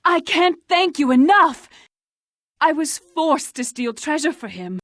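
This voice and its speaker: A woman's voice: high-pitched, sing-song, measured pace, a bit bright, dry recording, studio quality, heavily acted. A woman voicing a theatrical fantasy schemer in a video game, with a dramatic delivery. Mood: overjoyed. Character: theatrical, coquettish female fantasy schemer and thief.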